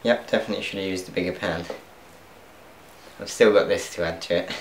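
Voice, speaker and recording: A man's voice: sits low, steady tone, fairly quick, a little hiss or hum. A young man chatting as he cooks in his home kitchen, laughing at his own mistakes and talking to viewers. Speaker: cooking vlogger. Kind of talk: casual cooking commentary. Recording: echoey kitchen, faint clatter of pans.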